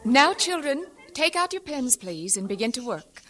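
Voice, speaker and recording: An actress playing a gentle, careful lady schoolteacher in an audio drama. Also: sits high, sing-song, moderate pace, loud music behind the voice. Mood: instructing. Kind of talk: dramatised radio-play acting.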